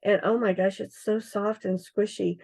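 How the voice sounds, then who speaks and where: A middle-aged woman with a hoarse, froggy voice, chatting casually as she demonstrates craft supplies on a livestream, with many ums and uhs. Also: mid-range voice, little pitch movement, moderate pace, a little mellow. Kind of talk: casual live product demonstration.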